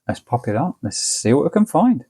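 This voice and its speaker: A man's voice: fairly high pitch, big pitch swings, a little fast, dark tone, slightly rough. An English man giving a casual, easygoing hands-on product review in colloquial step-by-step commentary. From United Kingdom. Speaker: gadget reviewer.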